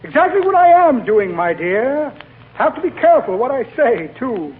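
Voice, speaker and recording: An actor playing a genial, pompous small-time crook who rambles through wisecracks in a 1940s radio comedy. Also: male voice, very high-pitched, big pitch swings, normal speed. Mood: breezy. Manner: muttering patter, rambling wisecracks to himself. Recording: tinny, noisy 1940 radio broadcast before audience.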